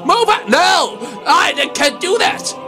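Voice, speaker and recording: Male comedy dubber, excitable and loud, wildly varied pitch, gravelly, over music. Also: measured pace. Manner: excitable, shouting, silly put-on voices. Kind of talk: improvised comedy dub of game cutscenes. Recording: music under the voice.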